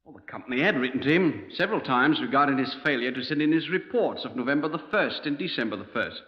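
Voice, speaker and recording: Male, mid-pitched, playing a meticulous, deferential business official; roomy, dull old radio recording. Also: expressive, quick pace, close to an everyday voice. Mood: fussy, explanatory. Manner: precise, explanatory, deferential. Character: precise, fussy, deferential oil company man. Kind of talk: 1950s radio crime drama acting.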